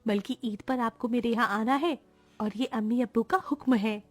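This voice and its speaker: A woman's voice: fairly high pitch, moderate pace, a little mellow, soft background music, close to an everyday voice. A woman voicing a caring, respectful young woman in a cartoon family drama, speaking in an earnest, polite way. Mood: caring, insistent.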